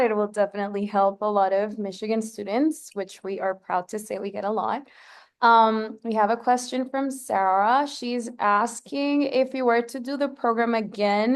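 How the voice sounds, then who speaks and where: A woman hosting a program Q&A, thanking the speaker and reading out audience questions. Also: medium pitch. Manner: upbeat, reads out audience questions. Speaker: program staff host of the Q&A. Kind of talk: moderating a webinar Q&A.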